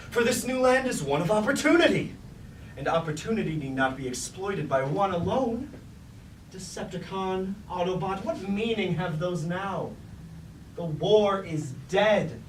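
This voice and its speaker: A man's voice: sits high, expressive, a little slow. An actor playing a scheming, grandiose robot king in a staged tragedy, speaking pompous, archaic theatrical lines. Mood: persuasive, oratorical. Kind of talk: staged reading of a mock Greek tragedy. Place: indoor staged reading, mic far from actor. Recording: roomy, distant, noisy live stage recording.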